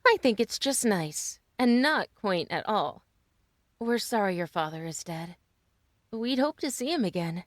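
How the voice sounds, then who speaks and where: A woman's voice: medium pitch, big pitch swings, unhurried, no echo, studio quality. A woman playing a cheerful, eager middle-aged wife in an old-time radio style drama. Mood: sentimental.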